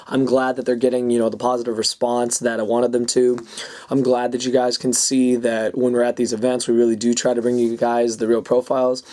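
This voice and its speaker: A young man with a raspy voice he is losing, hosting a casual advice vlog and talking loosely with lots of ums and uhs. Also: mid-range voice, monotone, fairly quick, mellow. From California. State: hoarse, losing his voice. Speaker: YouTube card game channel host.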